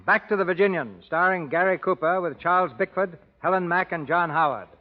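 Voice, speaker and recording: A man's voice: sits high, fairly quick. A man hosting and narrating a 1930s radio drama in a formal announcing style. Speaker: host and narrator. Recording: muffled old radio broadcast with loud music.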